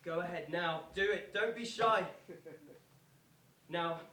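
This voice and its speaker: An actor playing an earnest male pop star making a slow, pleading, repetitive theatrical speech to stadium football fans. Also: sits high, pushed and bright, exaggerated voice. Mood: urgent, exhorting. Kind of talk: theatrical public speech, reenacted from quoted address. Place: indoor performance venue, recorded from a distance. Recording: roomy, distant, noisy live theatre recording.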